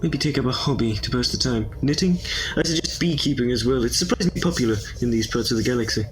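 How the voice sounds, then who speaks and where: A man playing a confident, eccentric time-traveling adventurer in a sci-fi audio drama, brisk and whimsical. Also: mid-range voice, a little hiss or hum, close to an everyday voice, low-quality recording. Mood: calm, whimsical.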